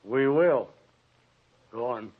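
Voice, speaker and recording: A man's voice: medium pitch, drawn-out words. An actor playing a hard-nosed police officer in an old radio drama, curt and skeptical as he questions. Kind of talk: 1940s radio drama acting. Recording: muffled old radio recording.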